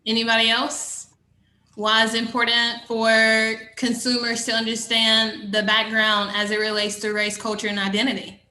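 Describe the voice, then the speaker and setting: A woman's voice: fairly high pitch, completely flat delivery, moderate pace, sharp tone, echoey room. A woman moderating a panel, asking casual, conversational questions. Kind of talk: panel discussion.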